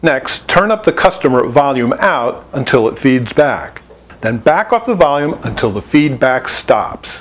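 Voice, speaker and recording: A man with a medium-pitched voice narrates a scripted tutorial. His intonation moves up and down in a lively way as he lays out plain, step-by-step instructions in the role of an instructor. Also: unhurried, background noise. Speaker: instructor presenting an installation tutorial.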